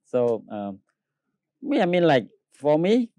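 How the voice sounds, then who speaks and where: A Cambodian man who speaks Khmer as his first language and sings opera tenor, answering an interview in halting English with many fillers and frequent laughs. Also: on the high side, big pitch swings, mellow, dry recording.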